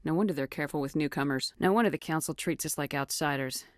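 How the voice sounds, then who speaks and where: A woman's voice: low voice, fast talker, no room sound, close to an everyday voice. An actress playing a tough, resolute female military officer in a video game, speaking with firm, clipped authority and dry wit. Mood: wry, determined. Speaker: starship commander in a science fiction game. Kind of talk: video game voice acting, dramatic dialogue.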